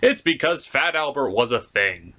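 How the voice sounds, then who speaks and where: A man's voice: mid-range voice, animated, normal speed, pushed and bright. A man hosting a scripted comedic episode review with a sarcastic delivery. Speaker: reviewer, host.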